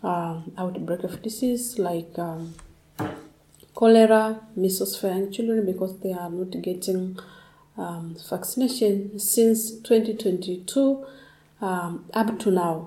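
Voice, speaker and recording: A woman speaks hesitantly, pausing often and restarting her phrases. Her voice is mellow and soft-edged. The room around her rings with echo. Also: fairly low pitch. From Tanzania. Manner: hesitant, many fillers and restarts. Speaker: interviewee, displaced community member. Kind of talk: testimony in conversation.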